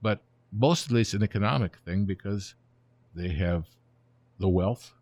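This is a man in his seventies with a very deep voice, whose first language is Ukrainian. He tells history in a relaxed, storytelling way, and his voice often trails off softly at the ends of phrases. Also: a little slow, no room sound. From born in Germany, raised in the United States. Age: 70s. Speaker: guest. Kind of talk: conversational interview, explaining history.

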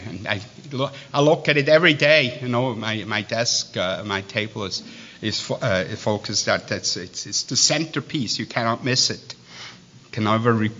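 A man with a Swiss accent preaches in a bright, pressing voice. He reads scripture plainly but keeps wandering into halting asides, restarting his words as he goes. The recording sounds dull and lo-fi. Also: mid-range voice. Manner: digressive, halting, with many restarts and fillers. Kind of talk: sermon with asides.

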